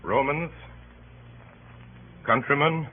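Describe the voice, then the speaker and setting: An actor playing an honorable, stern Roman statesman with formal, deliberate oratory in a 1930s radio drama. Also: a little high, slight background noise. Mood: solemn appeal. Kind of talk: 1930s radio Shakespeare acting. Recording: muffled, tinny 1930s radio broadcast.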